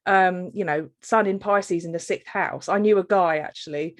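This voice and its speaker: A woman hosting a podcast, asking questions in a relaxed, casual way with frequent ums and fillers. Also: fairly low pitch, moderate pace. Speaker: podcast host.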